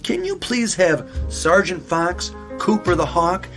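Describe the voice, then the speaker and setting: A man plays an earnest raccoon sheriff for a children's audio mystery. The voice is high and swings lively in pitch, folksy and inquisitive, with music behind it. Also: slow pace, full character voice. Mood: curious, businesslike. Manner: animated, folksy, wordplay-loving. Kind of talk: children's audio drama acting.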